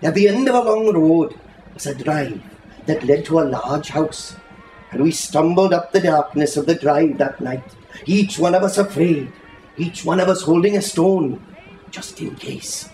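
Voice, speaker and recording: Slow, dramatic male storyteller in a roomy space. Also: on the high side, mellow. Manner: dramatic, lyrical, unhurried delivery. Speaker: storyteller reading aloud at a live reading. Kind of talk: expressive literary story reading. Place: indoor reading in a bookshop. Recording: roomy echo, faint music behind.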